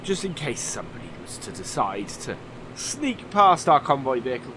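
A man's voice: mid-range voice, big pitch swings, moderate pace. A man with a slightly nasal voice from a cold coming on, casually commentating a farming game and thinking aloud with many fillers. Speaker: let's play host. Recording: voice-over with clear game vehicle noise.

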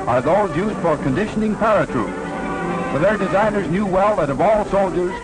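Male newsreel narrator, 1940s, high-pitched, formal and declamatory, vintage film sound, loud orchestral music behind. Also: normal speed, low-quality recording.

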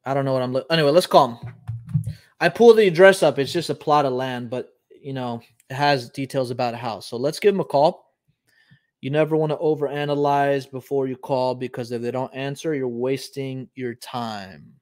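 A man in his thirties, from Puerto Rico, talks casually from a home office into a desk mic. His pitch sits in the middle range, and he thinks out loud with plenty of ums and loose restarts as he hosts a live call-in show. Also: fairly even intonation, moderate pace. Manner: conversational, thinks aloud, frequent ums. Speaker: host.

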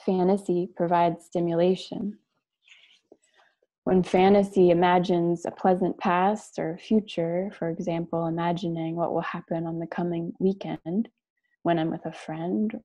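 Slow, low-pitched woman leading guided meditation. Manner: measured, gentle, with long pauses between short phrases. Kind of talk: guided meditation, slow soothing instruction and reading aloud. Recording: dull lo-fi online call audio.

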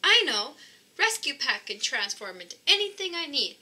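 An upbeat boy animal rescuer from a children's storybook , as a woman reads him. The voice is very bright and swings in pitch, calling out eagerly to young listeners with plenty of exclamation. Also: big pitch swings, moderate pace, full character voice. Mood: excited. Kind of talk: children's storybook read-aloud.

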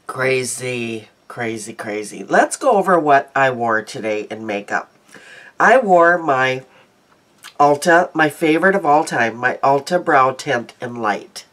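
Slow-talking chatty congested woman. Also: a bass voice, expressive, a bit bright. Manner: chatty, rambling, frequent ums. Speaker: beauty vlogger. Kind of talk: casual daily vlog chat. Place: small home room, indoors.

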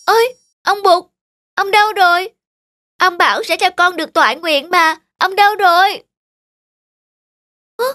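High-pitched earnest farm boy. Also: a little slow, pauses often, sharp tone, no echo, clean studio sound, full character voice. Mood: curious, delighted. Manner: plaintive, exclaiming, earnest. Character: earnest poor young farm boy devoted to grandmother. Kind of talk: animated fairy tale dubbing. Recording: music under the voice.

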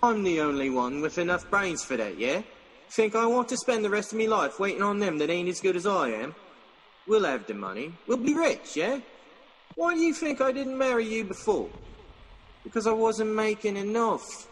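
An actor playing a cruel, greedy servant in a radio mystery, bullying and scornful, ending remarks with "yeah?". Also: male voice, high voice, big pitch swings, moderate pace. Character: cruel, greedy, scheming young working-class male servant. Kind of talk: radio mystery drama acting. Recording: long reverb, faint background noise.

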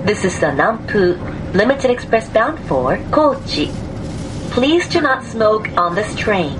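Slow, lilting female train announcement. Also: mid-range voice, sing-song. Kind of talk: automated onboard announcement. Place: inside a train. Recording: train noise behind a PA.

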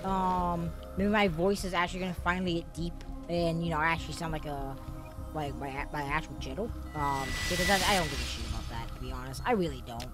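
A streamer with a voice prone to cracks, talking rambly through a horror game with many fillers. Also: female voice, low voice, a little fast, music in the background. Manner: rambling, filler-heavy, jumpy. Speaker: gaming streamer. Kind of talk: let's play commentary.

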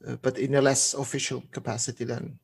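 A man's voice: medium pitch, gravelly, a little hiss or hum. A Portuguese man with a Swiss German accent, a guest on a podcast, talking casually and restarting his phrases often. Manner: halting, restarts phrases, relaxed.

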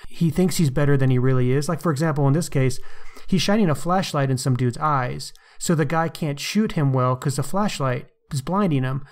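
A man's voice: a little high, moderate pace. A man hosting a podcast, discussing books in an informal, opinionated way with slangy asides. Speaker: podcast host. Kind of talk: casual book discussion.

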